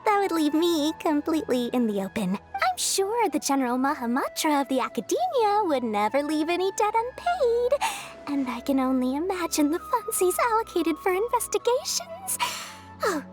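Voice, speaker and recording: A woman's voice: very high-pitched, big pitch swings, normal speed, a little mellow. A woman voicing a greedy, scheming young female merchant in a video game, talking in a coaxing sing-song way. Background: game music.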